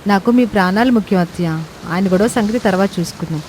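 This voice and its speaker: A woman's voice: medium pitch, fast talker, a little mellow, a little hiss or hum, natural voice. A woman voicing a kind, brave young daughter-in-law in a story, sincere and respectful. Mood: determined.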